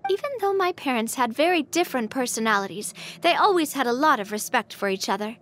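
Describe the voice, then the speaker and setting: A woman's voice: sits high, quick pace, slight background noise. An actress voicing an earnest, sentimental young woman who leads a clan, easily embarrassed, in a video game. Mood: reflective. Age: young adult. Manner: earnest, expressive, hesitant when flustered. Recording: music behind the voice.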